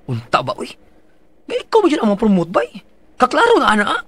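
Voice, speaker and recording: An actor with a Cebuano accent playing an excitable, encouraging male coworker in a radio drama. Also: very high-pitched, a little fast, exaggerated voice. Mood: excited. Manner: animated and encouraging.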